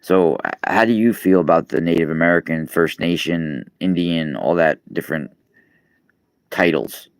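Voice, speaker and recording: A man's voice: low-pitched, moderate pace, no room sound. A man, chatting casually on a live stream, rambling with many fillers and restarts. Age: 40s. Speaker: podcast host and radio guest. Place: East Coast, New York.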